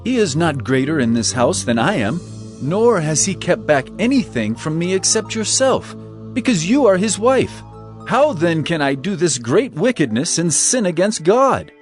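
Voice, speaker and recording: A man playing an upright, devout young Hebrew servant in a dramatized scripture reading, speaking in an earnest, measured way. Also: on the high side, big pitch swings, faint music behind. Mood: earnest refusal.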